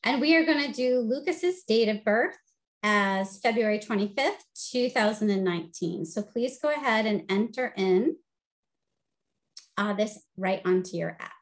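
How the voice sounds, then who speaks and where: A middle-aged woman with a high-pitched voice, teaching a webinar over a video call with an upbeat, friendly delivery full of "um"s. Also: a little slow. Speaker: presenter and teacher. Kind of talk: instructional webinar presentation. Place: home office, video call.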